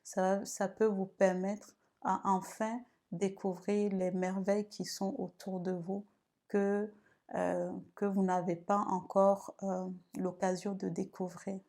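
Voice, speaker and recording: Slow, even-toned woman. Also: medium pitch. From Togo. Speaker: video host and women's wellbeing guide. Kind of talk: advice vlog talk.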